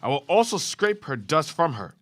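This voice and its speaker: A man reading scripture aloud in a class, with a steady, formal reading cadence. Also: fairly high pitch, normal speed.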